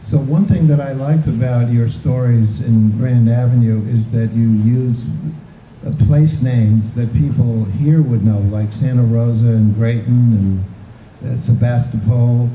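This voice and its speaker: A man interviewing an author on stage before an audience, speaking haltingly with many restarts. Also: medium pitch, fairly even intonation, reverberant, phone-quality sound, a little hiss or hum. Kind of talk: onstage interview. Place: event hall with an audience.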